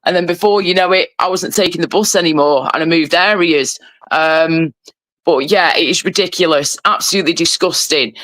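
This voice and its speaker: A man's voice: on the high side, normal speed, sharp tone, dry recording. A man with a Mancunian accent chatting casually and at length on a livestream, rambling and sweary. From Manchester, England. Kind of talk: casual chat.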